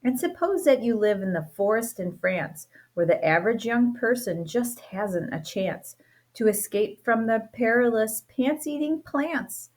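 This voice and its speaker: A teacher reading a rhyming children's book aloud, playful and expressive, with a sing-song lilt. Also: female voice, fairly high pitch, unhurried. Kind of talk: storybook read-aloud.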